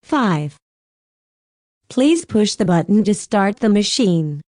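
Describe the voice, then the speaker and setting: A woman reads numbered practice sentences for an English textbook very slowly and deliberately. Her voice sits at a middle pitch. The recording is close and dry. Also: very animated, a little mellow, no room sound. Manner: very slow, deliberate sentence dictation. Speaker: audio narrator for an English textbook. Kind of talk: scripted textbook listening narration.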